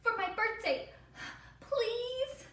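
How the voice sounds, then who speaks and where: A woman voicing a stubborn, excitable little girl in a storybook, with dramatic, whiny delivery. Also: extremely high pitch, very slow, voice pushed up, full character voice. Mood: pleading. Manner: dramatic, whiny pleading and grumbling. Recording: roomy, distant mic, faint noise.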